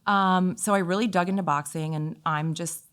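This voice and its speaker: A woman with a slightly low voice speaks as a podcast guest. She tells personal stories casually and informally, with an animated way of acting out remembered conversations. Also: moderate pace, smooth. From Italy. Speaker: podcast guest, fitness instructor.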